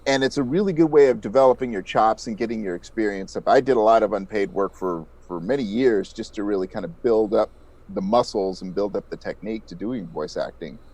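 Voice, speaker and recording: A man's voice: on the low side, fairly quick, a little mellow. A middle-aged man, a voice actor and narrator, talking conversationally as an interview guest in an explanatory, enthusiastic way.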